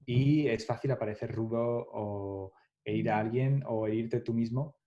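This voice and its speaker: Mellow-voiced man, thoughtful. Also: mid-range voice, fairly even intonation, moderate pace. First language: Spanish. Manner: reflective, restarts phrases often, laughs lightly. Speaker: guest developer. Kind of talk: casual podcast interview. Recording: dull lo-fi podcast audio.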